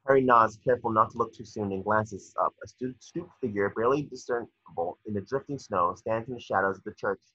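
A man reads stage directions in a measured, slightly stumbling way. His voice is low, raspy and forceful, captured dry through dull, lo-fi video call audio. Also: dry recording. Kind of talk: scripted narration at a table read.

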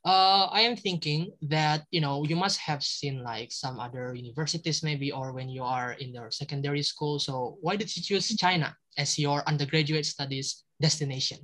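A man's voice: on the high side, normal speed, hardly any pauses, a bit bright. A young man hosting a casual video-call interview as a student ambassador, friendly and full of fillers, with Indonesian as his first language. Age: young adult. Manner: friendly, filler-heavy, laughs often.

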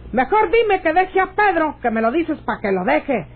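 A woman's voice: sits high, very animated, normal speed. An actress playing a stubborn, mocking rural woman in love, with a Mexican accent and a theatrical, scolding radionovela delivery. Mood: scolding, annoyed. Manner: scolding, scoffing, theatrical, folksy. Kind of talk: radionovela acting. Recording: dull lo-fi old radio drama recording.

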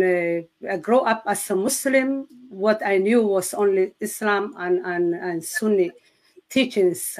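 Somali woman telling her story haltingly. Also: mid-range voice, low-quality recording. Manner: earnest, halting, searching for English words. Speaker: guest sharing testimony. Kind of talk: personal testimony in an interview.

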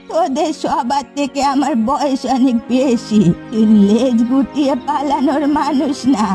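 Cartoon village granny actress: wary elder, high-pitched, mellow timbre, protective cautioning manner, music faintly behind. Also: female voice, close to an everyday voice. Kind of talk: Bengali cartoon folk-tale dialogue.

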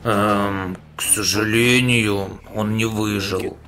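A man playing a gruff, cocky, blundering middle-aged soldier hero in a parody cartoon dub, with a deadpan drawl. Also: on the low side, takes it slowly, slight background noise, exaggerated voice. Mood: sheepish. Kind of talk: dubbed parody cartoon acting. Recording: music behind.

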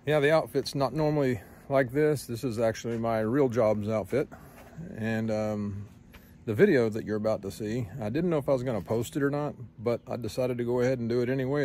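A man's voice: mid-range voice, a little mellow, slight background noise. Easygoing man vlogging outdoors by a boat. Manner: easygoing, self-mocking. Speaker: fishing vlogger. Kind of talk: casual vlog chat. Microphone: camera at arm's length.

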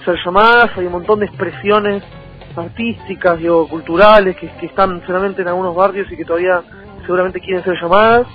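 A young man with an Argentine Rioplatense accent giving a political radio interview, halting and repeating himself as he rephrases. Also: high-pitched, steady tone. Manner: halting, repetitive, circling back to rephrase. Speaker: interview guest, local political candidate. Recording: thin phone-like line, music behind.

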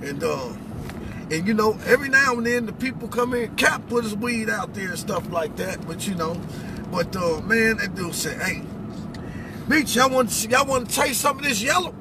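A man's voice: sits high, lively intonation, moderate pace. A middle-aged man telling personal stories with some preaching, in a colloquial, emphatic way that repeats phrases. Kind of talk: animated personal storytelling with preaching. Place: inside a pickup truck cab. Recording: noisy vehicle cabin.

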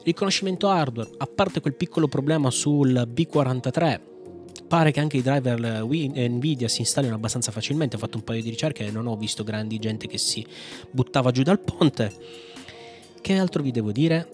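A man's voice: mid-range voice, normal speed, a little mellow. A man in his thirties speaks in a middle register, close to the mic in a small home room. He chats informally through a tech tutorial, playful and slangy, wandering as he goes. Faint music sits behind him. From Italy. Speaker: host of a Linux video channel. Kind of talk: casual tech tutorial chat. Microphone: microphone on a boom arm, close.

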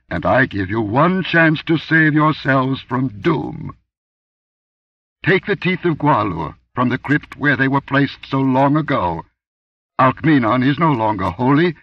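A man reading aloud, voicing a commanding, inhuman goddess who booms warnings down from on high. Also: no echo, recorded from a distance, lo-fi sound. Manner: booming, thundering pronouncements.

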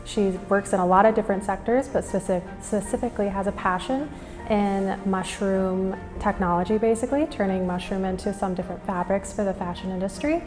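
Young American woman, even-pitched, in reverberant room. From Minnesota, United States. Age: young adult. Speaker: business school student giving a testimonial. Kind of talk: interview testimonial. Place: indoors against a plain wall and banner. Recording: reverberant hall, music underneath. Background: background music.

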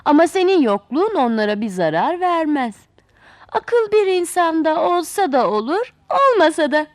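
A woman's voice: very high voice, big pitch swings, normal speed, no echo, clean studio sound, voice pushed up. A woman voicing a spoiled, proud young woman in a children's storybook, boasting in a haughty, challenging and emphatic way. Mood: boastful, challenging. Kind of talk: storybook character voice.

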